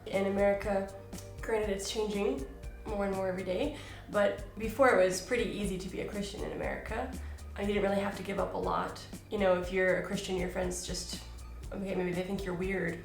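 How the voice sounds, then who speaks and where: A woman's voice: mid-range voice, roomy sound. A woman missionary asking and answering questions in a casual, thoughtful way. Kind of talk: casual Q and A chat.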